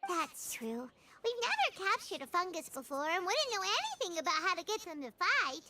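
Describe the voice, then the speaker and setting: A woman voicing a small, chatty, excitable fairy companion in a video game, with a squeaky childlike voice. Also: moderate pace, noisy background. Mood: suspicious. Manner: bubbly, expressive, refers to self in third person. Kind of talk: English video game voice acting. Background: game music.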